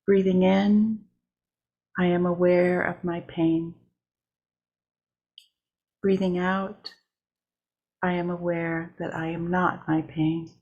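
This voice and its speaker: Female meditation leader, calm and deliberate, very slow with little pitch movement. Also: lo-fi sound. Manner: calm, measured, with short deliberate phrases. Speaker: worship leader. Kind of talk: guided meditation.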